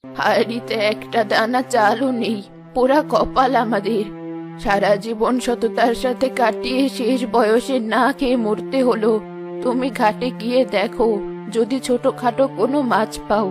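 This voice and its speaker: A woman's voice: a little high, steady tone, moderate pace, close to an everyday voice. A kindly old village grandmother , played by a woman, speaks in a plaintive, tender way. It is cartoon storybook acting with music behind. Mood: despairing. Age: older. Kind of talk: cartoon fairy-tale acting.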